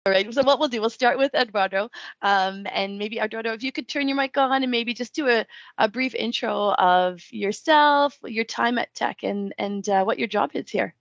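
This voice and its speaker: A woman's voice: a little high, normal speed, creaky, no echo, soft background music. Woman hosting a webinar, dull audio. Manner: friendly, loose, hesitant. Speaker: webinar host.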